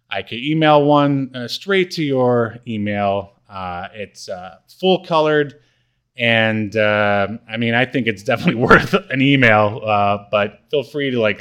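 A man co-hosting a podcast in casual chat, with a hesitant, halting delivery. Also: medium pitch, a bit bright, clear, resonant tone. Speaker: podcast co-host.

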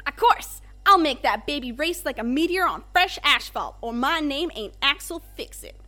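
A woman playing a brisk, chummy small-town garage mechanic with folksy, boastful slang in an amateur radio play. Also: high voice, expressive, pushed and bright.

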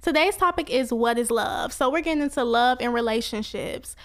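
A woman's voice: fairly high pitch, a little fast, a bit bright. A woman in her twenties hosting a podcast, chatting casually and asking guests follow-up questions. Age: 20s. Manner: chatty, asks follow-up questions, uses y'all. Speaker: podcast host.

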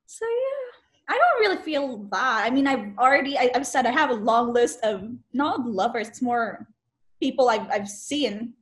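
A woman's voice: on the high side, very animated, normal speed. A woman asking relationship questions in casual chat, laughing often and filling with "like". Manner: laughs often, many "like" fillers. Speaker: interviewer.

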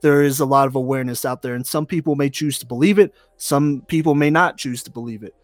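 A man's voice: medium pitch, quick pace, no room sound. A man co-hosting a podcast, talking earnestly about voting and change, with lots of "you know" fillers. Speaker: podcast co-host. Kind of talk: casual opinion talk.

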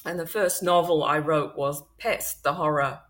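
A woman in her forties with a Welsh-Australian accent, a novelist telling her story in a relaxed, chatty way over a video call. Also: low voice, normal speed. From Wales. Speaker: novelist guest. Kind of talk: remote interview.

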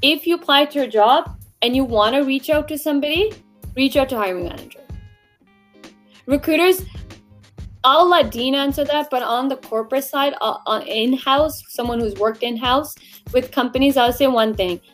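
A woman with a fairly high voice speaks as a recruiter co-hosting a livestream on job searching. She is blunt and emphatic, keeping a conversational flow. Faint music plays behind her. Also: normal speed, a bit bright. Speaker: recruiter and show co-host. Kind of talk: livestream career-advice discussion.

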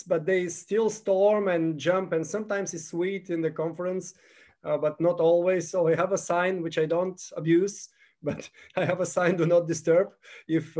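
A man of about fifty from Bulgaria, answering interview questions in halting, phrasing and pausing to find his words. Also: fairly high pitch, a little mellow. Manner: halting, non-native phrasing, pauses to search for words. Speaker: guest.

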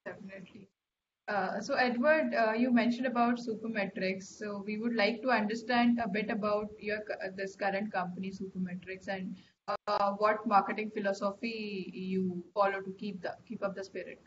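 A woman's voice: medium pitch, fairly even intonation, normal speed, highs cut off, a little hiss or hum. A woman hosting an interview, polite and hesitant, with many fillers. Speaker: interview host.

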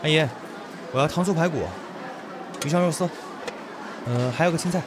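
An actor playing a cool, terse teenage schoolboy in an audio drama, talking in a curt, casual way. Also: male voice, on the high side, normal speed, a crowd in the background, noisy background, lightly acted.

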